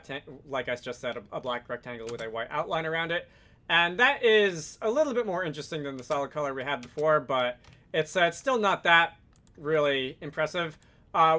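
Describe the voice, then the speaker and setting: A man presenting an unscripted software tutorial, thinking aloud and correcting himself as he works. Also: a little high. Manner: hesitant, self-correcting, thinking aloud while working. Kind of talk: unscripted screen-recorded software tutorial narration.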